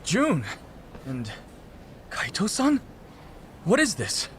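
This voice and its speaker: A man's voice: high-pitched, huge pitch swings, slow pace, a little hiss or hum, heavily acted. A man acting a polite, anxious middle-aged father and husband in a dubbed video game cutscene, formal and earnest in his speech. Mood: stern, alarmed. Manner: polite, formal, earnest, exclaims when upset.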